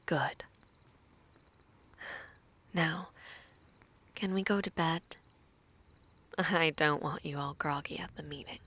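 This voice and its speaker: A woman playing a composed, caring young princess in an audio drama, soft and earnest, often slipping into a breathy hush. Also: low-pitched, slow, long pauses, full character voice. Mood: soothing. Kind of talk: audio roleplay drama acting.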